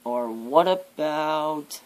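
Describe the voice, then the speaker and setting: A man voicing a menacing devil in an ASMR horror roleplay, with an ominous, drawn-out, theatrical delivery. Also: on the high side, expressive. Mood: rising menace. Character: menacing devil, a living nightmare preaching fear and death.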